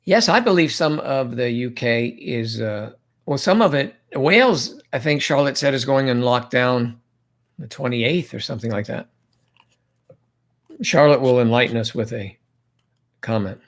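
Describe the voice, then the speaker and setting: A man hosts a casual livestream, chatting at an easy, medium pitch. He rambles from topic to topic and reads viewer comments aloud to his guests. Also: expressive, a little slow. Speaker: livestream host.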